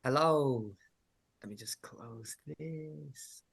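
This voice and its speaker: A man with a Liverpool accent, a community arts director giving a thoughtful, halting talk over a video call with many pauses and fillers. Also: mid-range voice, gravelly, fairly bright tone, husky. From England. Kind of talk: online presentation talk.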